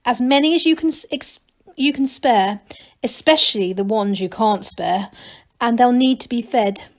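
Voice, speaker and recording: Storybook gnome lad, earnest and proud, emphatic, fairly high voice woman reader acting him. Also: moderate pace, natural voice. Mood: earnest, persuasive. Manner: emphatic, plunging on. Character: eager young male gnome leader, earnest and proud.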